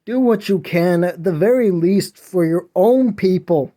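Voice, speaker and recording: A man's voice: high voice, a little slow, warm tone. A man hosting a podcast, delivering an impassioned solo monologue in a whiny voice, earnest and preachy as he pleads with listeners. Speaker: podcast host.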